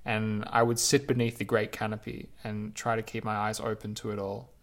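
A man reading his own reflective essay aloud in a measured, lyrical, unhurried way. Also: lowish voice, level tone.

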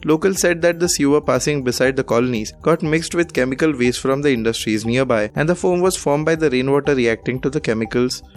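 A male news presenter with an Indian accent reads from a script in a formal, measured manner. His voice sits at a medium pitch, with music playing clearly behind him.

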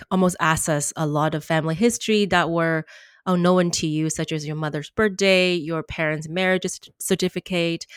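A woman's voice: on the low side, clean tone, no room sound, studio quality. A woman hosting a podcast interview, talking casually and searching for words with many fillers. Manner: soft-spoken, searching for words with frequent fillers. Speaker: podcast host.

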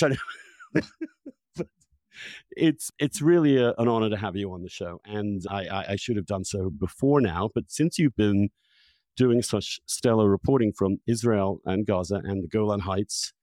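Low-voiced man, effusive podcast host, close and dry. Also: very animated, a little mellow, no room sound. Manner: effusive, halting, emphatic, repeats words for stress. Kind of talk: conversational interview with long personal introduction.

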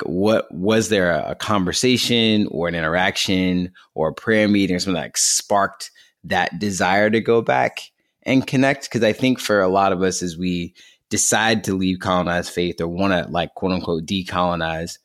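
A man's voice: deep voice, normal speed, a bit bright. A man interviewing casually as a podcast host, earnest and informal, with loosely phrased questions.

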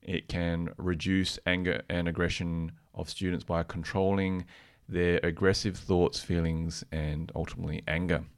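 A man co-presenting a podcast, explaining research conversationally in a measured, explanatory manner. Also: low voice, little pitch movement. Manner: measured, explanatory, tentative when giving opinions. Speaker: podcast co-presenter, practising therapist.

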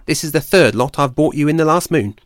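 A man's voice: a little high, animated, no echo, studio quality, pitched above the performer's own voice, natural voice. Man voicing an exasperated young female squirrel. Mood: grudging. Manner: fast, exasperated complaining.

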